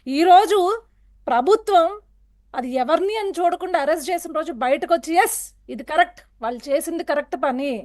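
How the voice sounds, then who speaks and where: A woman with a very high, piercing pitch. She argues as a guest on a political TV panel. Her delivery is forceful and accusatory, full of rhetorical questions. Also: brisk. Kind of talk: heated television political debate.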